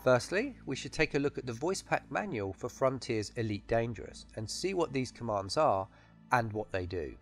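Male tutorial narrator for game add-on, scripted explanatory read, animated intonation, music underneath. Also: medium pitch. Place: voice-over with music behind.

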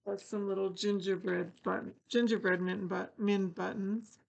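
A woman's voice: medium pitch, fairly even intonation, moderate pace, creaky, low-quality recording. An older woman hosting a casual crafting video, thinking aloud and poking fun at herself as she works at a table. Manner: chatty, self-deprecating, thinking aloud while working. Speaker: craft channel host. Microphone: fixed camera over a craft table.

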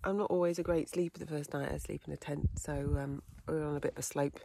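Hiking vlogger, woman, thirties, casual and rambling, phone mic outdoors, low-ish voice, light wind noise. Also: steady tone, fast talker, creaky, mellow. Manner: chatty, frequent ums and little laughs. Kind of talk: casual chat to camera. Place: windy fell top and tent outdoors. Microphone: phone camera at arm's length.

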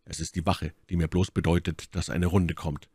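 A man's voice: low voice, flat delivery, moderate pace, darkish tone, breathy edge, dry recording, clean studio sound. A man playing a fervent, infatuated young officer in an audiobook, impulsive and exclamatory. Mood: reassuring. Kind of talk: dramatic audiobook character acting.

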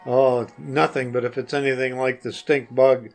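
An older man answering interview questions in a halting, hesitant way with short replies. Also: medium pitch, little pitch movement, faint music behind. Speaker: guest, the hosts' father.

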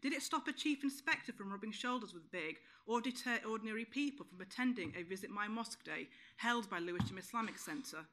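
A woman's voice: medium pitch, animated, fairly quick, hardly any pauses, a bit bright. A woman in her thirties giving a firm, prepared panel speech with pointed rhetorical questions at a conference. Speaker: panel speaker. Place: conference room, panel table.

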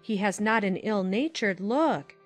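A woman playing a talkative, opinionated middle-aged aunt in a dramatized audiobook, in a lively manner. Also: medium pitch, a little slow, faint music behind. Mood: chatty. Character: chatty warm-hearted middle-aged aunt.

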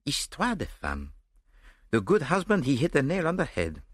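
A man voicing a fussy, mocking male Belgian detective, dry and precise, in a lively sing-song. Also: a little high, moderate pace, breathy edge, dry recording, pitched above the performer's own voice, full character voice. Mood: musing.

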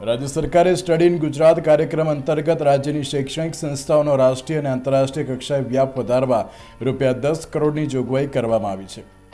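A man's voice: medium pitch, fairly even intonation, fast talker, mellow. A man reading scripted news narration as a voice-over. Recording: music bed under voice-over. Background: music.

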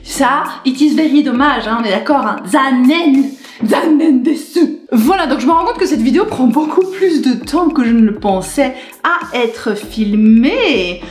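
Animated chatty young woman vlogger. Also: sits high, a little slow. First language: French. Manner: animated, chatty, rambling, gestures a lot. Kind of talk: casual chat to camera. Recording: echoey room with faint music.

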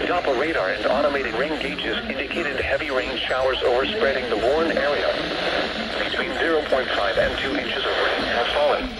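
A synthetic man's voice reading a formal, impersonal emergency weather bulletin through a handheld radio speaker. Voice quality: synthetic text-to-speech voice. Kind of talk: scripted emergency warning bulletin. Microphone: phone filming a handheld radio's small speaker. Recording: noisy handheld weather radio, background hiss and rumble.

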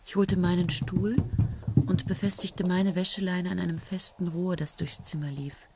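An actress playing a withdrawn young woman student in a German radio play, speaking low and often in a whisper. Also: flat delivery, normal speed, very noisy, lightly acted. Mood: despairing. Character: young woman student, brooding, dreamy farmer's daughter.

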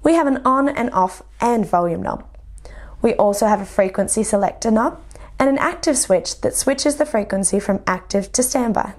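A woman's voice: on the high side, expressive, moderate pace, darkish tone. A young woman with an Australian accent, a flight instructor, delivers scripted instructional narration in a methodical, explanatory way. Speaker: flight instructor presenting a lesson.

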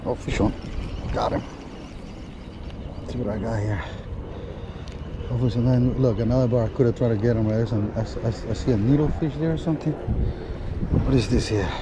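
A man's voice: mid-range voice, fairly quick, long pauses. A man giving casual, slangy running commentary to viewers while fishing outdoors, recorded on a body-worn action camera. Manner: informal, slangy, addressing viewers as guys. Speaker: fishing vlogger. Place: outdoors on an ocean fishing pier. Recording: loud wind noise on action camera outdoors. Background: loud wind and sea ambience.

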